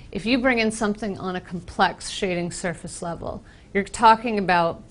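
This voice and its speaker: American woman from Boston, slightly low voice, casual trainer explaining software with ums. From Boston, United States. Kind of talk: conference presentation.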